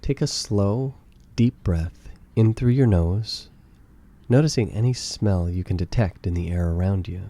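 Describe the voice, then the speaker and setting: A man narrating a guided meditation with calm, gentle, soothing instructions. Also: low-pitched, measured pace.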